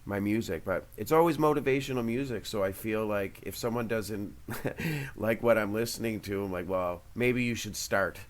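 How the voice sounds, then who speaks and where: A man's voice: fairly low pitch, normal speed, hardly any pauses, a little hiss or hum. A man in his late thirties, a guest on a remote interview, talking in a rambling, hesitant way with many ums, over a headset. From Canada's Maritime provinces. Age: 30s. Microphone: headset on a call.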